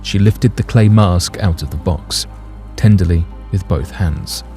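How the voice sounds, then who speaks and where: A man's voice: deep voice, measured pace, dark tone, faint music behind. A man narrating a scripted story in a literary, dramatic manner.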